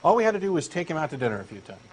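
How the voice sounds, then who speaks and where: A man's voice: on the high side, sing-song, rapid-fire, breathy edge, low-quality recording. A man with a Texan accent reading a comic dialogue as an eager, insistent believer. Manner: eager, insistent true believer, earnest and pushy. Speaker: co-host doing a comic dramatic reading.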